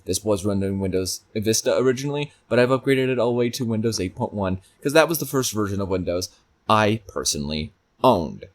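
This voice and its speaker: A man's voice: on the low side, expressive, brisk. A man presenting a hands-on tech review, informally talking through each step as he goes. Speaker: video reviewer.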